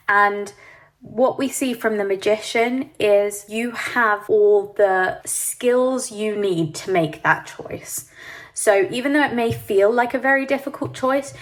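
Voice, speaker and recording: A woman giving a pick-a-card tarot reading, sniffly from hay fever, speaking gently and reassuringly to the viewer. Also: medium pitch. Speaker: tarot reader.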